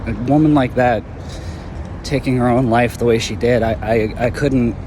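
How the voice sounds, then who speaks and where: A man's voice: medium pitch, steady tone, background noise, close to an everyday voice. A man playing an earnest young former hero. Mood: reflective. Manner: earnest, halting, reflective. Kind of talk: audio drama acting.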